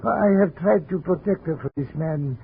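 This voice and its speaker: An actor playing an anxious older immigrant gallery owner, speaking in halting, earnest phrases in a radio drama. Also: male voice, a little high, vocal fry. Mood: anxious, pleading. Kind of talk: 1950s radio drama acting. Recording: muffled, noisy old radio recording.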